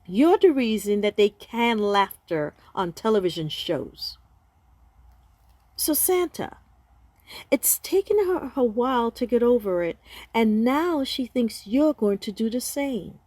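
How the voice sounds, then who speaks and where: A woman's voice: a little high, sing-song, dark tone, no room sound, heavily acted. A woman playing a firm, no-nonsense doctor counselling Santa in a comic radio play. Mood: probing, dry. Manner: assertive, deliberate, probing.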